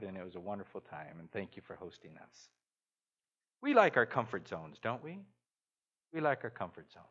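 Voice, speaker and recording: A man's voice: a bit bright, muffled recording. A middle-aged man who grew up in Guatemala, preaching a sermon as a guest speaker and telling stories, acting out the people he quotes. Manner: storytelling, acts out quoted voices with big pitch jumps. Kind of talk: sermon with anecdotes.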